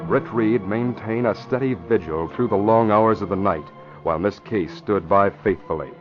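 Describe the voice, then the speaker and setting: A man's voice: lowish voice, moderate pace, over music. A man with an American accent giving scripted narration as the announcer of a vintage radio drama. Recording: dull vintage radio recording.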